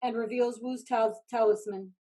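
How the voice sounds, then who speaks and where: A woman's voice: fairly high pitch, steady tone, moderate pace, warm tone, poor audio. A woman reading stage directions as scripted narration for a staged play reading.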